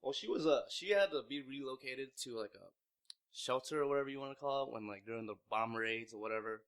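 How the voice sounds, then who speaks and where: A man's voice: mid-range voice, fast, fairly bright tone, clear, resonant tone. A man in his mid twenties from Vietnam, telling his story in a casual interview with lots of fillers and restarts. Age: mid 20s. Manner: rambling, heavy use of "like", frequent restarts. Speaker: interviewee, young clothing brand founder.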